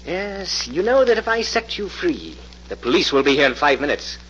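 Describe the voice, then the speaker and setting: Grandiose mad professor, wildly swooping delivery. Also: male voice, fairly high pitch, big pitch swings, normal speed, a bit bright. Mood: grandiose menace. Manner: pompous, declamatory. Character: megalomaniac mad professor, grandiose, cruel and commanding. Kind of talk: 1940s radio thriller acting. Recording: muffled, noisy old radio recording.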